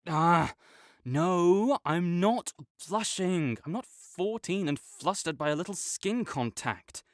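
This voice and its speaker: A man's voice: high voice, expressive, slow, dry recording, clean studio sound. A young man voicing a competitive male student rival who teases and bosses, then turns flustered and defensive. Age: young adult. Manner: teasing and bossy, gets flustered and defensive. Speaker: voice actor in an audio roleplay. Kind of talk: audio roleplay acting.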